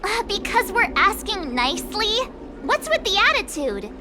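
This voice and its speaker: Young girl adventurer, extremely high, swooping intonation, sassy, assertive, video game dialogue. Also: normal speed, very noisy. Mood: indignant. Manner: sassy, expressive.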